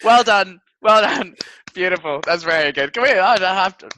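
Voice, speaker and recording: A man's voice: high-pitched, lively intonation, normal speed, sharp tone, dry recording. A man hosting a football podcast with casual, blokey, sweary banter and frequent chuckles. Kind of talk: casual banter and match chat.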